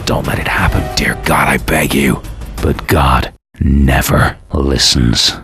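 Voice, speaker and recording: A man's voice: steady tone, takes it slowly, heavily acted. An actor playing a damned, vengeful man in a video game, narrating his fate in an intense, brooding, theatrical way. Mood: bitter despair. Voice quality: very deep voice. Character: damned, vengeful male antihero, bitter and doomed, recounting his fate. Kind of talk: dramatic first-person game cutscene narration. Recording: loud dramatic music behind.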